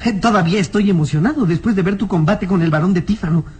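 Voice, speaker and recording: A performer playing an eager, loyal young boy companion in an old radio serial, earnest and excitable, with a husky voice. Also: mid-range voice, fast talker. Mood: excited, concerned.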